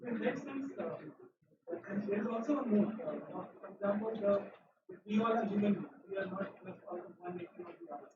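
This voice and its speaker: Male discussant, halting delivery, distant noisy hall sound. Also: high-pitched. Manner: halting, searching questions with many fillers. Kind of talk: academic seminar discussion. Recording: echoey, distant, phone-like hall recording with noise.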